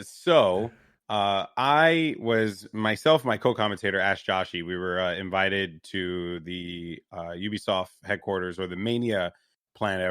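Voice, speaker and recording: A man's voice: clear, resonant tone, no echo, studio quality. A young man from New York, a podcast co-host, telling a story casually and animatedly and lifting his voice when he quotes people. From New York, USA. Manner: animated storyteller, raises pitch when quoting others. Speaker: podcast co-host, esports commentator.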